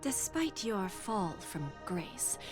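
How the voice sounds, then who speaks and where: Game role: elegant mature dealmaker, condescending, smooth and unruffled, over clear music. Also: female voice, medium pitch, big pitch swings, takes it slowly. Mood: coolly amused. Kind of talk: scripted game dialogue.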